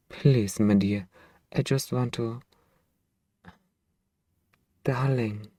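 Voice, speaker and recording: A man playing a possessive, doting vampire master in an intimate, coaxing ASMR roleplay, with hushed, drawn-out phrasing. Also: mid-range voice, no echo, lightly acted. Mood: worried, tender. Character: possessive male vampire master, hypnotic, doting, anxiously tender.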